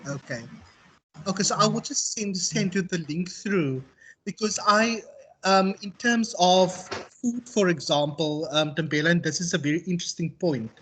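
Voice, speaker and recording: Man, South African accent, slightly high voice, reflective, halting, rephrasing, informal tutor. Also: fairly bright tone, a little hiss or hum, low-quality recording. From Western Cape, South Africa. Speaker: university tutor. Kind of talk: informal online lecture and discussion.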